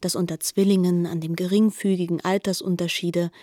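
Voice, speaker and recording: A woman narrating a literary radio drama in a measured, formal, questioning manner. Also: fairly low pitch, level tone, dark tone, no room sound, clean studio sound.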